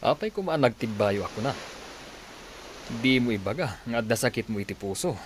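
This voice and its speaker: A man plays a concerned companion in a radio-style drama. His voice sits at a medium male pitch, with clear ambient noise around it. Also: lively intonation, brisk, close to an everyday voice. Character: concerned man urging an ailing companion home. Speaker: actor in an audio drama. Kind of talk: Ilocano radio drama acting. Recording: clearly noisy background ambience.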